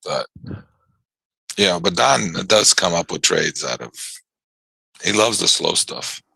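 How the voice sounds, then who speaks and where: Low gravelly man with a worn voice. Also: big pitch swings, takes it slowly, sharp tone, no room sound, rough recording. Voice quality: gravelly and husky. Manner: rambling, stammering repeats. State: voice worn from yelling. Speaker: trading show host. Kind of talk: casual live trading chat.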